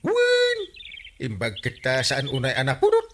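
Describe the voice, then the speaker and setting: An actor playing an excitable, stubborn village captain with an Ilocano Filipino accent in a radio comedy drama, animated and exclamatory. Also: male voice, medium pitch, unhurried, a little hiss or hum. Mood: excited, defensive.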